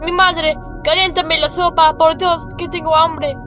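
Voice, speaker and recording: A woman's voice: extremely high pitch, level tone, unhurried. A woman playing a sad, sickly, hungry small boy who whines and pleads in a radio play. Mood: whimpering plea. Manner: whimpering, pleading. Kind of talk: dramatized radio play reading. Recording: muffled, music behind.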